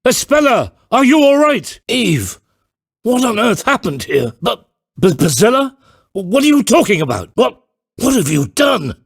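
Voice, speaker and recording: An actor playing a grave, theatrical middle-aged father and storyteller in a video game, speaking in a deliberate, formal and grandiose way with a gravelly, husky voice. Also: high voice, huge pitch swings, no room sound, clean studio sound, exaggerated voice. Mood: panicked, distraught. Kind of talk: dramatic video game voice acting.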